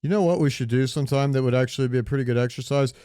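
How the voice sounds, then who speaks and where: A man's voice: mid-range voice, very fast, mellow, smooth. A man co-hosting a podcast, chatting casually and informally, with swearing thrown in. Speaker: podcast co-host. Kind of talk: casual banter about films and pop culture.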